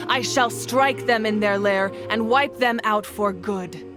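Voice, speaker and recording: An actress voicing a solemn, commanding ruler in a video game, speaking in a formal, measured, stately way. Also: female voice, medium pitch, close to an everyday voice. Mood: proud, resolute. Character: commanding, solemn female war leader and ruler of a nation. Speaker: leader addressing her people. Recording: music behind the voice.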